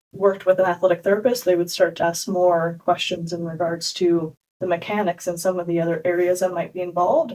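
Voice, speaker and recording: A woman speaking as a guest on a business podcast interview, explaining in a measured way. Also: fairly low pitch, a little mellow, distant mic, rough recording. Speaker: clinic co-founder, guest.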